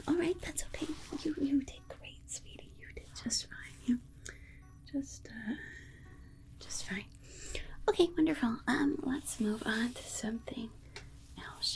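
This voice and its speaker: Woman acting a female alien examiner, ASMR roleplay, higher-pitched whisper, soothing, coddling, slightly condescending. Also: creaky, a little mellow. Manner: coddling, slow reassuring whisper with murmured asides.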